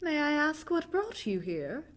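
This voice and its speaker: High-pitched, animated, anxious young lady acted by a woman. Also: unhurried. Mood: polite, curious. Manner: emphatic, questioning, theatrical. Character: anxious, inquisitive young lady, polite houseguest. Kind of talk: vintage radio drama acting.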